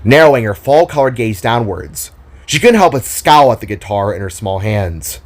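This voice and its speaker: A man narrating a scripted audio drama story in a deliberate, expressive storytelling manner. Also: fairly low pitch. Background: solo acoustic guitar music.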